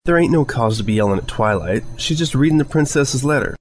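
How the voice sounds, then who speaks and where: A man voicing a plain-spoken, steady female farmer pony with a folksy country way of talking. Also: medium pitch, fast, a little hiss or hum, voice pushed up, close to an everyday voice. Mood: chiding.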